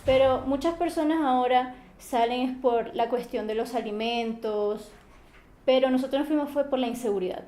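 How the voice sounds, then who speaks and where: A teenage woman from Maracaibo with a Venezuelan accent, speaking calmly and in a measured way as she is interviewed. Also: high-pitched, roomy sound.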